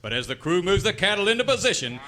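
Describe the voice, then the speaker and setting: An actor playing a grandiose announcer, narrating a parody radio serial in florid, melodramatic style. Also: male voice, sits high, very animated, fast, pushed and bright, exaggerated voice. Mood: dramatic.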